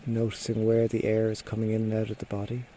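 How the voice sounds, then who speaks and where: Male meditation guide, soft mellow tone, medium pitch, gentle and unhurried, pausing often, faint birdsong and nature sounds behind. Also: completely flat delivery, a little hiss or hum. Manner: gentle, unhurried instruction with frequent pauses. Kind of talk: guided body scan meditation.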